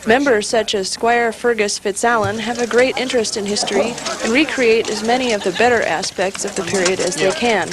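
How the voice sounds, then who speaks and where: A woman's voice: on the high side, a little fast, hardly any pauses, a crowd in the background. Wry female TV narrator. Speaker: TV reporter. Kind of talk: scripted narration.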